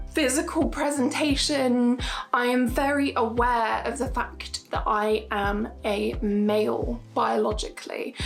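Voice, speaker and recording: A young woman in her twenties talking straight to camera in a casual vlog, earnest and emphatic, repeating her points for stress. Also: vocal fry. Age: 20s. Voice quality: high-pitched voice. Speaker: YouTuber.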